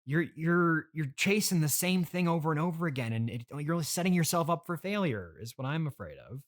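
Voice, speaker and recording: Halting, exasperated male podcast host. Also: fairly high pitch, animated. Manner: halting, exasperated straight man. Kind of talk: improvised comedy interview.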